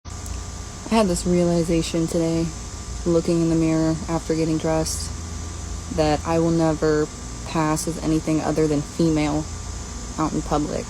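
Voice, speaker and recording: Over clear background noise, a woman speaks in a casual, confessional way. Her voice sits on the low side and moves very little in pitch. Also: monotone, moderate pace, long pauses. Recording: noisy hum of room ambience.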